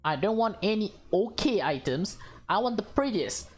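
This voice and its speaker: A woman's voice: mid-range voice, big pitch swings, moderate pace, soft background music, natural voice. A woman voicing a vain, demanding young woman from a visual novel, haughty and emphatic.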